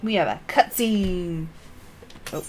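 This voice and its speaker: A woman's voice: on the low side, lively intonation, slow pace, a little hiss or hum. A woman streaming a game, muttering casually to herself about where to go next. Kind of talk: casual chat while playing a game.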